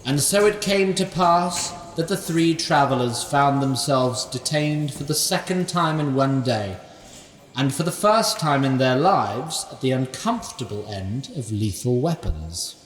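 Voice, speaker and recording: In a fantasy audio drama, a man voices a wry old sprite who tells the tale with a lively, rising-and-falling delivery and dry asides. His voice sounds distant in a roomy, echoey space with a long reverb tail. Music plays softly underneath. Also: mid-range voice, unhurried, a little hiss or hum, lightly acted. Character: wry sprite narrator, male, ancient. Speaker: narrator.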